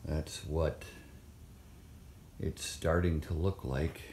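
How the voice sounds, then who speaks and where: A man with a very low voice talks slowly and offhandedly, thinking aloud as he walks around with his phone. The sound bounces around a bare, hollow room. A faint hiss sits underneath. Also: fairly even intonation, darkish tone, echoey room. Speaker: vlogger filming a trailer renovation. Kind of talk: casual walkthrough narration. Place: inside an empty old trailer home. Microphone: handheld phone camera.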